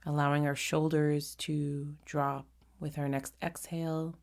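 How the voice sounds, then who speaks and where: Slow, low-voiced woman guiding meditation. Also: flat delivery, creaky. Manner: gentle, unhurried, pausing often between short phrases. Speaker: meditation guide. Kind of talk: guided meditation.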